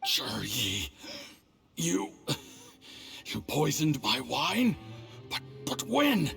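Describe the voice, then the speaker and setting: An actor playing an arrogant, scheming foreign envoy in a game cutscene, with a swaggering, threatening manner. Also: male voice, mid-range voice, wildly swooping pitch, very slow, gravelly, fairly bright tone, faint music behind, heavily acted. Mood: shocked. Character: scheming middle-aged male Fatui envoy, arrogant.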